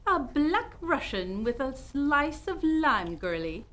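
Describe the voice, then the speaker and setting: A woman's voice: sits high, very animated, slow, fairly bright tone, exaggerated voice. A woman playing a worldly, gossipy businesswoman in an old-time radio detective play, with a knowing, wisecracking delivery. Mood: haughty. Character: worldly gossipy businesswoman Madame Chang, salon owner.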